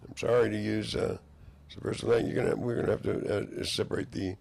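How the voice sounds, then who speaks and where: A hoarse man in a rambling monologue, drifting between half-finished sentences and mock-courtroom phrasing. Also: fairly low pitch, fairly even intonation, fast talker, a little mellow.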